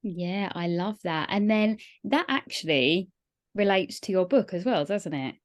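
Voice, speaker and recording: A woman's voice: medium pitch, animated, measured pace. A woman hosting a podcast interview, chatting in an upbeat, encouraging way with plenty of fillers. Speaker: podcast host. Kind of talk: casual interview chat.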